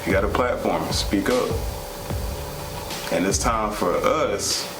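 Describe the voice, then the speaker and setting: A man's voice: on the low side, slow. A man co-hosting a vlog, giving candid, conversational commentary in an earnest, plain-spoken way. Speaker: vlog co-host. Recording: roomy echo, music underneath.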